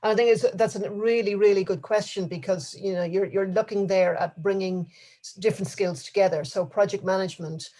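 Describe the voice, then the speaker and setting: A host leading a career conversation, speaking in a halting way with many fillers. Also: mid-range voice, steady tone, darkish tone. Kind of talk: hosting a career talk.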